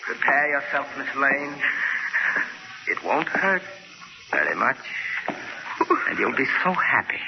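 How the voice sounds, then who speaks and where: Deranged male villain for 1940s radio thriller: gravelly, menacing, gloating, on a noisy old broadcast. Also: medium pitch, very animated, takes it slowly, long pauses, muffled recording, heavily acted. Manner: gloating, theatrical, taunting.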